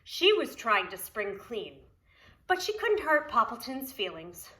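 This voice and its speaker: A woman's voice: fairly high pitch, sing-song, unhurried, sharp tone. A woman preschool teacher reading a story aloud to young children, expressively, with simple explanatory asides.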